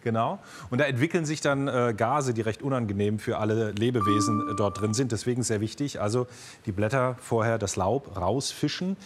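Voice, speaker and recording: A man's voice: mid-range voice, fairly quick, heavy background noise. Male quiz show host explaining answers. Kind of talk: unscripted quiz hosting and explaining.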